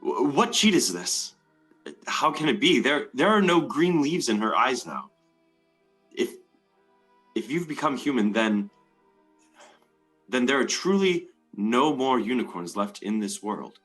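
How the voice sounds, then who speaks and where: A man's voice: medium pitch, very animated, a little slow, long pauses, fairly bright tone, soft background music, rough recording. A man playing a gloating mad lord, wildly swooping. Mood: shaken, intense. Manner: theatrical, archaic, taunting speech. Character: menacing, gloating mad lord obsessed with owning unicorns. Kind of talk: dramatic stage play reading.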